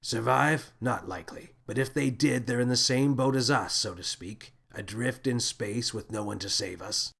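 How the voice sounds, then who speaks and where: A man voicing an adult male spaceship captain in a children's sci-fi story, grave and sorrowful but excitable when alarmed. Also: medium pitch, normal speed, gravelly, hardly any pauses, husky. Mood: somber, resigned. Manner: dramatic, brooding, blurting when agitated.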